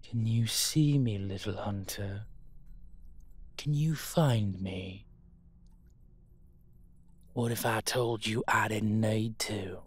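A man voicing a proud, disdainful old vampire in an audio roleplay, speaking breathily in formal, measured phrases. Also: on the low side, husky. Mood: coldly menacing.

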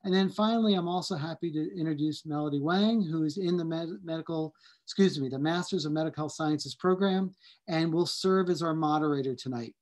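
A middle-aged man, a physician, welcoming people to a community health webinar over a video call, friendly and unhurried with frequent fillers. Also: a little high, darkish tone. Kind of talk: opening remarks and introductions.

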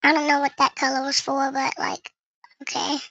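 A very bright, high voice run through a voice changer gives casual game commentary. It is creaky and sounds dry and close, chatting to viewers and thinking aloud while playing. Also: monotone, normal speed, dry recording, dull audio. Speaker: gaming video creator.

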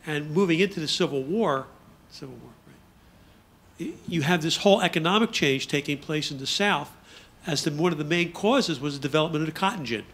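Man with a fairly high-pitched voice. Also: fairly quick, fairly bright tone. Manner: explanatory. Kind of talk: extemporaneous discussion comment.

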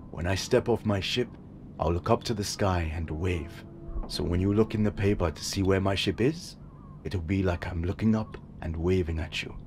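An actor playing a gentle father who works at sea, soothing his young daughter in a soft, reassuring voice. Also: low voice, slight background noise, natural voice. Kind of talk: audio drama acting.

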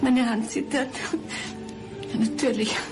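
An actress playing a frightened, despairing condemned mother in a radio play, halting and breathy, often near a whisper. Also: on the high side, steady tone. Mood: fearful, anguished. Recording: music under the voice.

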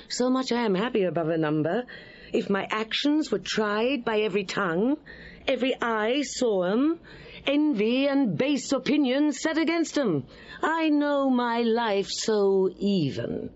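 A woman's voice: medium pitch, darkish tone, dull audio. A woman playing a proud, wary, wronged queen in a Shakespearean audio drama, speaking formally and emphatically. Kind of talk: Shakespearean radio drama acting.

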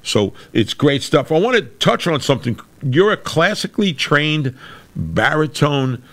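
A middle-aged man with a very deep voice, chatting casually as a podcast host over a video call. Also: sing-song, unhurried, a bit bright. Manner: chatty, with restarts and laughs. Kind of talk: casual interview chat. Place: room with a brick wall.

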